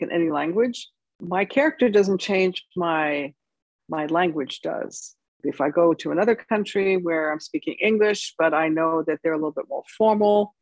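An older woman from the United States answering documentary interview questions over a video call in a measured, emphatic way. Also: sits low, darkish tone, dry recording, low-quality recording.

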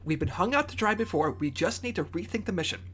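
A woman playing an earnest, excitable secret agent leading a team in a comedy-adventure podcast, with a raspy voice. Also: fairly low pitch, fast talker. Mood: reassuring.

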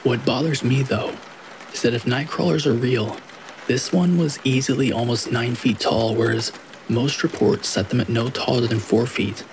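A man's voice: medium pitch, moderate pace. A man narrating scary stories in a scripted storytelling read, with rain falling behind him. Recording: steady rain noise behind the voice.

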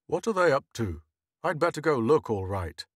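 A man's voice: medium pitch, big pitch swings, moderate pace, no room sound, clean studio sound. A man voicing an easygoing middle-aged husband, brusque and matter-of-fact. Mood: breezy.

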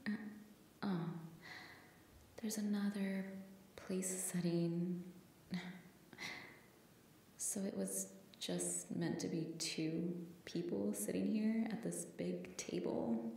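A woman's voice: fairly low pitch, fairly even intonation, takes it slowly, pauses often, mellow, slight background noise. A young woman playing a shy, flustered woman reuniting with an old flame in an intimate, hesitant, giggly ASMR roleplay. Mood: muttering, wry. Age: young adult. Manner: hesitant, giggly, often breathy asides. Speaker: girlfriend roleplay audio performer.

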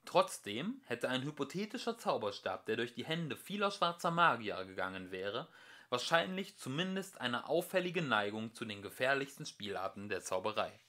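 Male amateur audiobook narrator, mid-pitched, animated intonation, expressive scripted read. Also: moderate pace.

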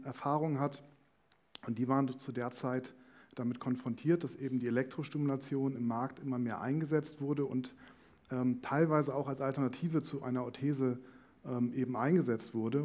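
Mellow-voiced man in his thirties, explaining. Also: medium pitch. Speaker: company founder as interview guest. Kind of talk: informal explanatory interview talk. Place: indoor stage studio. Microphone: headset microphone.

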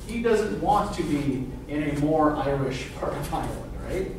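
A man's voice: on the high side, animated, moderate pace, a little mellow. A middle-aged man giving a university literature lecture in a questioning way, often checking with "right?". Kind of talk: classroom lecture. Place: classroom, indoors. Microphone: distant camera microphone, speaking through face mask. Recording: roomy classroom from far off, faint noise.

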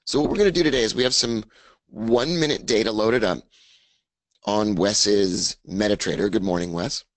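Man, raspy voice, low pitch, dry close sound, upbeat webinar host. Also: normal speed, no room sound, rough recording. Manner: upbeat, talks through charts. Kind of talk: casual trading webinar. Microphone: studio mic, screen share.

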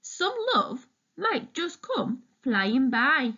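Very slow, animated woman reading a picture book. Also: sits high, dull audio. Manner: deliberate, addressing young listeners with questions. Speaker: storytime reader, teacher. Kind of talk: expressive children's picture-book read-aloud.

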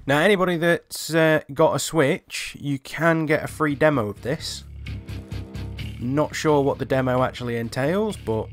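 A man's voice: fairly high pitch, unhurried. Man commentating over game music. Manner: sweary, muttering to himself while playing. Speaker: video game reviewer playing. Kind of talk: casual gameplay commentary. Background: game music with guitar.

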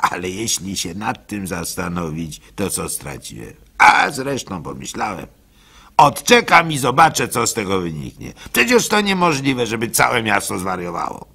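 Radio drama lead: plaintive, gullible village fool, rueful folksy storyteller, husky and unhurried, theatrical monologue. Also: male voice, medium pitch, sharp tone, exaggerated voice.

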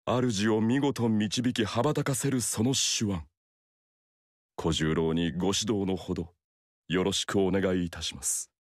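An actor playing a loyal young male retainer in a game, formal and courteous, with quiet irony. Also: lowish voice, fairly even intonation, unhurried, no echo, clean studio sound, close to an everyday voice. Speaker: game character voice. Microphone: dry studio mic.

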